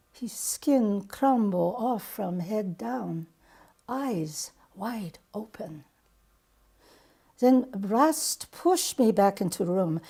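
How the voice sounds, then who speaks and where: Woman performing older Japanese woman survivor, grave, mellow, slow, Japanese accent, broken English, dramatic monologue. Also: on the high side, husky, exaggerated voice. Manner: halting, broken English with dropped articles.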